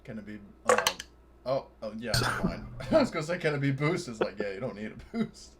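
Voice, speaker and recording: A man's voice: medium pitch, animated, fairly quick, a loud crowd. A man talking casually as a player during a tabletop roleplaying game. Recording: voice chat with faint noise.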